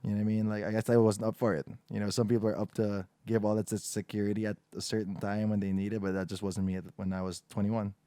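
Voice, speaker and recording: A young man in his twenties hosts a relaxed podcast conversation. His voice sits low and dark, and the dry, close sound carries no room echo. He rambles loosely and swears freely. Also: fast, hardly any pauses, clear, resonant tone. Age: 20s. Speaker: podcast host. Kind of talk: casual podcast chat.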